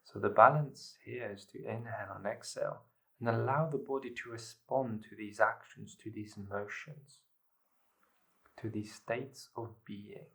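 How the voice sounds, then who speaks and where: A man leading a guided meditation, giving measured instructions in short phrases with pauses between them. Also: fairly low pitch, creaky.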